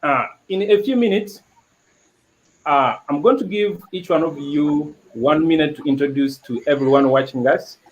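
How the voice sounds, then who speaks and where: Slightly high-voiced panel host man. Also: normal speed, dull audio. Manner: formal, addresses guests as comrade. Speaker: host of an online political panel. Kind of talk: moderating a panel discussion.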